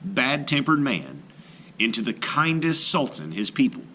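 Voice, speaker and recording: Male storyteller for children's read-aloud: bright, mid-pitched, expressive intonation, faint noise behind. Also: measured pace. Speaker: storyteller, art teacher.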